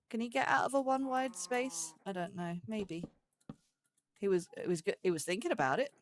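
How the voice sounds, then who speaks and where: A woman giving casual, chatty let's play commentary as a gaming host, thinking aloud as she goes. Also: fairly low pitch. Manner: thinks aloud, chatty, talks to game animals.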